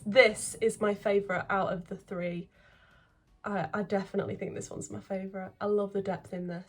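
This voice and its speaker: A woman who is getting over a cold, chatting excitedly through a makeup vlog at home, full of ums and sometimes dropping to a near-whisper. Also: medium pitch. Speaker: makeup vlogger. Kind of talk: casual beauty vlog chat. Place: indoors at home, filming makeup at a mirror.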